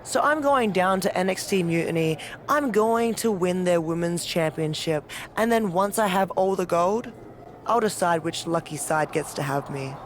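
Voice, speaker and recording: A woman's voice: on the low side, normal speed, lightly acted. Cocky female champion for a wrestling game, acted by a woman, teasing, self-assured, faint background noise. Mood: smug, teasing. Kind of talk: video game cutscene acting.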